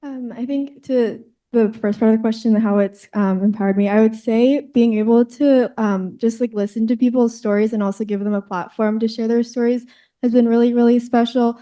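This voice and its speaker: A woman's voice: fairly high pitch, fairly even intonation, normal speed, mellow. A young woman answering on a scholarly panel, earnest and reflective with frequent fillers.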